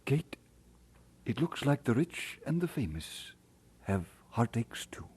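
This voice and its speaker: An actor playing an earnest, tender pastor and husband in an old-time radio drama. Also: male voice, mid-range voice, very animated, takes it slowly, a little mellow, husky, exaggerated voice. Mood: solemn, compassionate.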